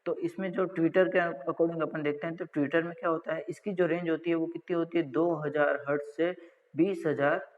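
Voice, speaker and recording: A man's voice: a little high, fairly even intonation, brisk. A male lecturer explains technical material didactically, asking and answering his own questions and often checking that listeners follow. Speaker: college lecturer. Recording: dull lo-fi audio with long reverb.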